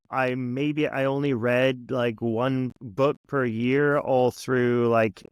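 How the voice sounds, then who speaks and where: An American man giving measured, explanatory answers as a guest in a conversational interview. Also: mid-range voice, no room sound. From United States. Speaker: podcast guest, teacher and content creator.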